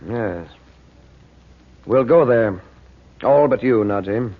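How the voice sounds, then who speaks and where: A man playing a composed, commanding magician uncle in an old radio serial, with a measured, protective and authoritative way of speaking. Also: medium pitch, phone-quality sound. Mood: firm, controlled.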